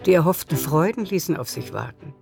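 A narrator tells a literary story in a radio play at a medium pitch. The scripted prose is carried along with music behind it. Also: lively intonation, brisk, vocal fry.

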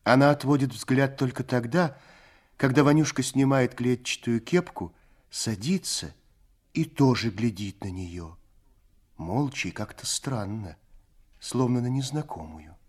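A man's voice: mid-range voice, animated, measured pace, darkish tone, breathy edge. Male narrator of a radio story. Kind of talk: scripted literary narration for radio play.

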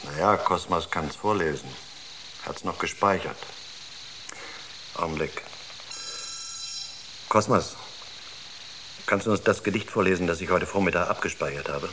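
An earnest space-crew psychologist in a radio drama. His voice sits low, and he explains himself in a searching, natural way. Background noise is clearly audible. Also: measured pace, pauses often. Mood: matter-of-fact. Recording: noisy radio drama recording.

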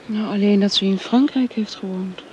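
An actress playing a young woman who misses her absent lover, speaking softly in a radio drama. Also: mid-range voice, moderate pace, dull audio, a little hiss or hum, natural voice. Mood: sad, subdued.

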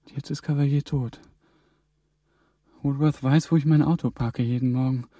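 A man's voice: mid-range voice, steady tone, normal speed, dark tone, no room sound, clean studio sound, natural voice. A man playing a young male EU intern on the run, talking in tense, often whispered asides in a radio crime drama. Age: young adult.

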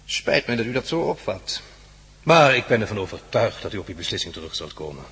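An actor playing a smug, menacing crime villain in a radio drama, mock-polite and taunting. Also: male voice, lowish voice, very animated, brisk, a bit bright, husky, heavily acted. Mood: smug threat. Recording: echoey hall reverb.

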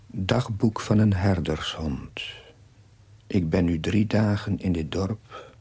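A troubled young chaplain in a television drama, narrating his diary. The voice is low and very husky, with breath in it. He goes slowly and solemnly, in formal, literary phrases. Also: mellow, heavily acted. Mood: solemn, reflective. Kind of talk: scripted dramatic voice-over narration.

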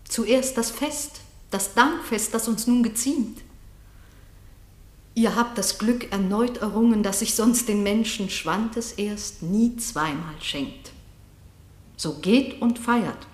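A woman playing a commanding noblewoman in a classic radio drama, declaiming verse in a solemn, measured way. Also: medium pitch, darkish tone, reverberant, close to an everyday voice. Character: commanding noblewoman bringing a stone queen to life.

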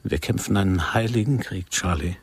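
Solemn, husky low-voiced militant. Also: male voice, normal speed, dry recording, heavily acted. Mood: stern, indignant. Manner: grave, insistent, ceremonious. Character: solemn, commanding male agent posing as a fervent militant lover. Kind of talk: German radio play acting.

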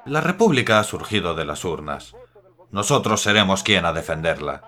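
A man's voice: deep voice, sing-song, moderate pace, sharp tone, heavily acted. An actor in a radio drama playing an idealistic young republican man, speaking earnestly and with passion. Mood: impassioned. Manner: earnest, impassioned.

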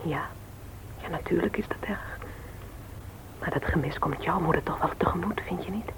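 A woman's voice: on the low side, moderate pace, whispered, close to an everyday voice, low-quality recording. An actress playing a kindly, sympathetic woman who dotes on children in a radio play. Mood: hushed sympathy. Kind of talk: Dutch radio play acting. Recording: hissy old radio recording.

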